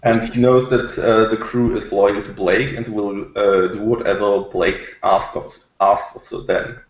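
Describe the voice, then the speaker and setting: Male panellist, unhurried and hesitant, casual debate tone, roomy lo-fi recording with noticeable echo. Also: lowish voice, level tone. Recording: echoey, dull lo-fi room audio.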